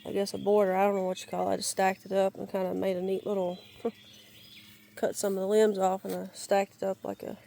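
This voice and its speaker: A woman talks her way slowly through her wooded yard, her voice raspy and crackly from a cold she is still getting over. Her delivery stays flat and mellow, full of restarts and searching for words, with birds calling in the open air behind her. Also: mid-range voice. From Georgia, US. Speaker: gardener giving a yard tour. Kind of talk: casual rambling walk-and-talk. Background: birds and outdoor ambience.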